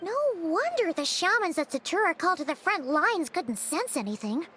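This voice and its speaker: A woman voicing a tiny, excitable, childlike fairy companion in a video game, squeaky and blunt. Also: moderate pace, slight background noise, heavily acted. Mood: cheeky. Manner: excitable, blunt, third-person self-reference. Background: game music.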